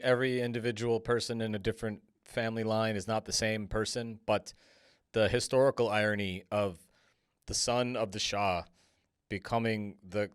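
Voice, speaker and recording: A man hosting a podcast interview, putting long, reflective questions in a conversational, measured way. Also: on the low side, smooth, no room sound, studio quality.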